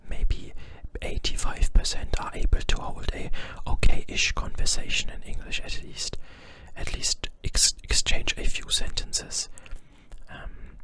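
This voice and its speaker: A young man from Germany with a German accent, whispering an informal ASMR explanation to viewers and deliberately leaning on hard, overpronounced consonants. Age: young adult. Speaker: ASMR creator.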